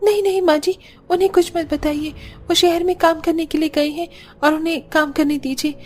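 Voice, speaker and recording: A woman's voice: very high voice, fairly even intonation, a little fast, darkish tone, voice pushed up. A woman voicing a meek, suffering young pregnant daughter-in-law in a cartoon, pleading and plaintive. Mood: worried, plaintive.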